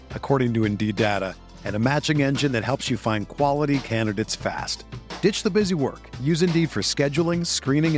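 A man reading a scripted advertisement as an announcer. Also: medium pitch. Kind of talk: scripted ad read.

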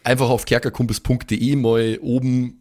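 A man's voice: mid-range voice, level tone, moderate pace, a little mellow. A man chatting casually as a podcast host, with a matter-of-fact manner. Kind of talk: casual improvised chat.

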